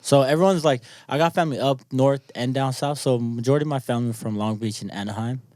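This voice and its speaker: A young man in casual podcast talk, loose and slangy. His voice sits in a middle pitch and sounds close and dry, with no room around it. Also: fairly even intonation, fast talker, a little mellow, no echo. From born in New Zealand, grew up in California. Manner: loose, slangy, says "bro" and "man" a lot. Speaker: podcast guest. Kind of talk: casual chat.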